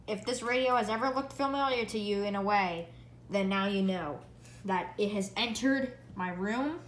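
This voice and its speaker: Echoey, excitable young-sounding hobbyist, female-sounding voice. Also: mid-range voice, normal speed. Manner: excitable, repeats phrases for emphasis. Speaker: vlogger reviewing radios. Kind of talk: casual product review vlog. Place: indoor room at home. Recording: echoey room, background hiss.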